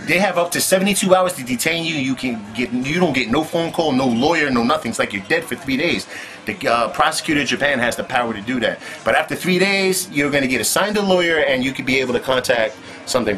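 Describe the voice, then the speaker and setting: A man's voice: medium pitch, bright, forceful tone. A man telling his own story in casual, profane street slang, with "man" tossed in constantly. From United States.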